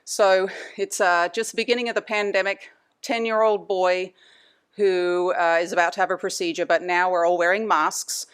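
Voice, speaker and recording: A woman's voice: on the low side, flat delivery, moderate pace, clean tone. A middle-aged woman from Australia with an Australian accent, giving a chatty presentation lecture and laughing between points. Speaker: anesthesia department leader giving a talk. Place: conference room.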